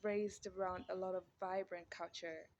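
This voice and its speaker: A woman's voice: on the low side, little pitch movement, moderate pace, a little mellow, highs cut off. A woman, an interviewee, explaining her upbringing patiently and affirming often. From Zambia. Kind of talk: casual interview.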